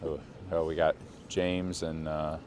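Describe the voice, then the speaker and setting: A man hosting a live bike race broadcast outdoors, chatting in a relaxed, halting way with many fillers. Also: a bass voice, heavy background noise. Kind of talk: live trackside chat.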